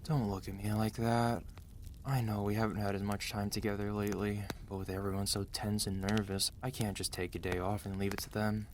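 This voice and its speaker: A man acting out a weary, protective mafia boss husband in an ASMR roleplay, commanding and dry yet gentle and intimate. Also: on the low side, little pitch movement, a little fast, slight background noise, natural voice. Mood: gentle, teasing. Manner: tired, authoritative, dry, intimate.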